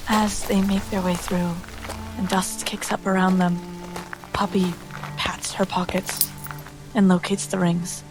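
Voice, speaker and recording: A woman's voice: medium pitch, level tone, a little slow, pauses often, music in the background, poor audio. A woman playing a tabletop fantasy game, narrating what her character does in a storytelling style. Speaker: player.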